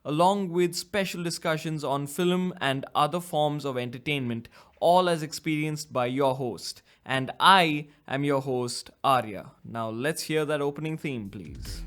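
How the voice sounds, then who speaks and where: A man's voice: a little high, unhurried, fairly bright tone. A man in his twenties with a slight cough hosts his own solo podcast, rambling casually and correcting himself. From India. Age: 20s. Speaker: podcast host.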